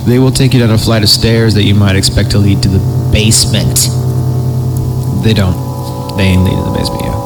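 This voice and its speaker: A man's voice: lowish voice, level tone, brisk, heavy background noise. A man hosting a horror story podcast, narrating theatrically and breaking off for joking asides when he stumbles. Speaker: podcast host reading horror stories.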